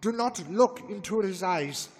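A commanding vampire hunter, as a man plays him drives the others on with urgent orders that climb to shouts. His voice sits fairly high and husky. The reverb is long and roomy. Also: lively intonation, measured pace, heavily acted. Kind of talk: radio drama acting. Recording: reverberant room.

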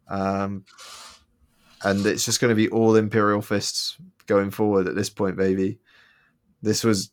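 Low-voiced guy hosting a podcast, rambly, lots of ums, jokey. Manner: rambling, many ums and restarts, joking. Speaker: podcast co-host. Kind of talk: casual podcast chat.

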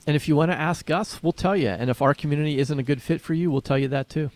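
A man's voice: a little high, quick pace, darkish tone. A man co-hosting a writing podcast, talking casually and conversationally. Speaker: podcast co-host and writer.

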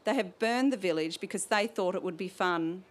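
A woman's voice: mid-range voice, moderate pace, lightly acted. Woman reading as a grave, kind soldier leader.

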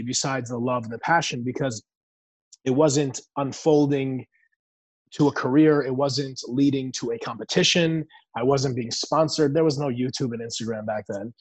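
A man's voice: medium pitch, slightly rough, dry recording, low-quality recording. A man in his forties, born in Iran and raised in the U.S., telling his life story as an interview guest in an earnest, reflective way. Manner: earnest, reflective, many restarts. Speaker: guest, bodybuilder.

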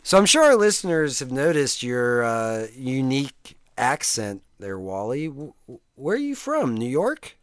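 A man's voice: mid-range voice, very animated, unhurried, fairly bright tone, no room sound, studio quality. A man hosting a podcast, crude and joking, laughing often in casual chat. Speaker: podcast host.

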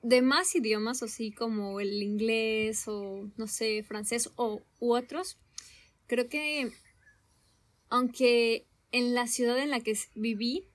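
A young Mexican woman chatting in a relaxed conversation, her voice sitting a little high. She takes her time and pauses often with small hesitations as she thinks out loud. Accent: Mexican Spanish. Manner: slow, thoughtful, with many hesitations. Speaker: conversation partner. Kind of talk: casual conversation.

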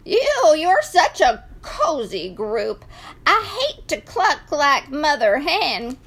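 Storybook rooster, played by a woman: cocky, blunt, theatrical, high crowing tone. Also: big pitch swings, takes it slowly, fairly bright tone, heavily acted.

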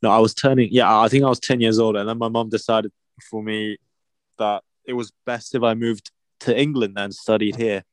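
Laid-back young man, low voice. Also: steady tone, moderate pace, no room sound. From China. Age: young adult. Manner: laid-back, teasing, laughs often. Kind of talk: casual chat.

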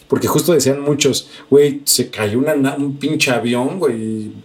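A man's voice: medium pitch, normal speed, breathy edge. A man with a Mexican accent co-hosting a news podcast, mixing news reading with casual, sweary slang. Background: background music.

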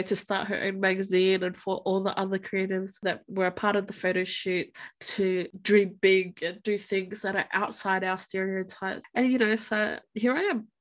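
A woman in her twenties talks through a solo podcast monologue with a stuffy, congested nose. Her delivery is chatty and a little self-conscious, and she laughs easily as she goes. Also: mid-range voice. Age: 20s. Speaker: podcast host.

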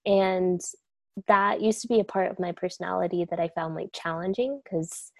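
A woman's voice: lowish voice, normal speed, gravelly, dry recording. A young woman speaking casually and reflectively as an interview guest, laid-back with many fillers. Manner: soft-spoken, laid-back, many likes and you-knows.

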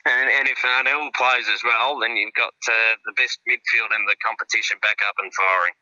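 A chatty Australian man gives football tips as a regular radio guest, his voice bright and forceful. The sound is dry and a little dull, like a studio line. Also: medium pitch, normal speed, dry recording. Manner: relaxed, chatty, with frequent fillers and restarts. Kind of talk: casual radio sports analysis.

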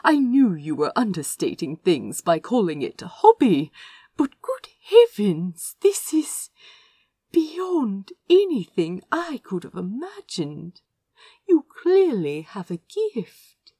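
A woman voicing a refined, gentle male prince in an audiobook story, with an effusive, genteel and exclamatory manner. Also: mid-range voice, sing-song, takes it slowly, breathy edge, no echo, clean studio sound, exaggerated voice. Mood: amazed admiration. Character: Azra, refined male prince, gentle, delighted, easily awed. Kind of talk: storybook character reading.

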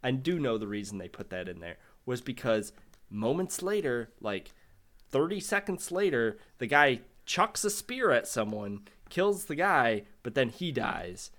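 Male co-host, casual movie-riff podcast, profane and exasperated, lively pitch swings. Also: unhurried. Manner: profane, exasperated, animated ranting. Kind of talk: casual movie review chat.

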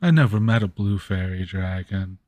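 A man voicing a gentle, naive, sad scarecrow with halting speech in a tabletop game. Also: low voice, animated, no room sound, voice pushed down. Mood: meek, simple. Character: simple, sad, gentle living scarecrow, missing its head.

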